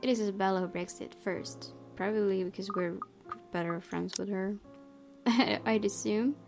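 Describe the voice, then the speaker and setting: Woman narrating over clear background music. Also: mid-range voice, fairly even intonation, moderate pace, a little mellow. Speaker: gaming channel host. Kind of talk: narrating a visual novel aloud with asides. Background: game music.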